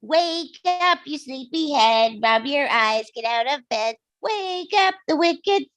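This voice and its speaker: A woman playing a small, cheerful munchkin villager, reading musical lyrics in a deliberate singsong. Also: high-pitched, expressive, a bit bright, heavily acted. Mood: celebratory. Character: small, cheerful munchkin townsfolk, chanting gratefully.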